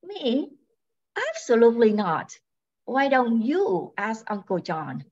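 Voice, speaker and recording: A woman's voice: on the high side, sing-song, slow pace, darkish tone, full character voice. A woman playing a sensible, scolding farm-raised twin sister in an amateur radio mystery, on a muffled recording. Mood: exasperated. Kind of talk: amateur old-time radio comedy-mystery acting. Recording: dull, muffled sound.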